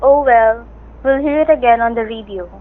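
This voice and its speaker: A woman acting as a sweet-natured, chatty wife in a vintage radio play. Her voice is on the high side, homey and affectionate. It comes through the narrow sound of an old broadcast. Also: moderate pace, lightly acted. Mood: affectionate, light. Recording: band-limited old radio recording.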